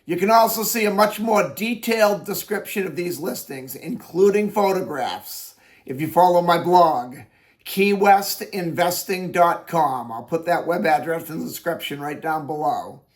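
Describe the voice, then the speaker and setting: A high-voiced man runs through the day's home listings like a real estate agent talking straight to buyers. His voice is raspy from allergies, and he explains things plainly and at length. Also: expressive, normal speed. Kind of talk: daily listing video monologue. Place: Florida Keys.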